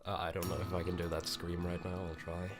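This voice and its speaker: A man chatting casually on a live stream, hesitant and low-key, sounding a little sick. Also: monotone, fast, loud music behind the voice. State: still somewhat sick. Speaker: streamer, amateur voice actor.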